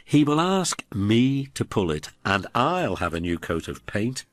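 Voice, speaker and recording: A man's voice: on the low side, sing-song, unhurried, dry recording. A man voicing a vain male engine in a storybook, scornful and boastful.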